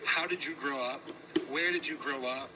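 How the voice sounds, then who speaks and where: A man interviewing a guest before a room audience in a casual, flattering, chatty way. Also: medium pitch, moderate pace. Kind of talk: onstage conversational interview. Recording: noisy room ambience.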